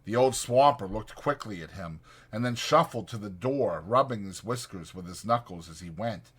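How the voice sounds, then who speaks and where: Bright-voiced man narrating an audiobook. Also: fairly low pitch, animated, moderate pace, slightly rough. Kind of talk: scripted literary narration.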